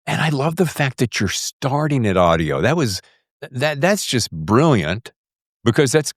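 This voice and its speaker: Middle-aged man, podcast host, lively swooping intonation, effusive, casual chat, dry close sound. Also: medium pitch, a little slow, dry recording. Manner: enthusiastic, effusive.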